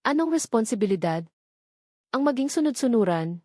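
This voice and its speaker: A woman's voice: fairly high pitch, big pitch swings, normal speed, darkish tone, no echo, studio quality. A woman voicing a wary, firm young pregnant woman in an audiobook romance drama, with earnest resolve. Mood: frustrated accusing.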